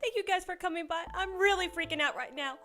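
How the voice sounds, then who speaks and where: Actress doing a freaked out young student girl, super high voice, audio drama with music behind. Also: fast talker, sharp tone. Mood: panicked. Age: young adult. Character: panicky young female art student.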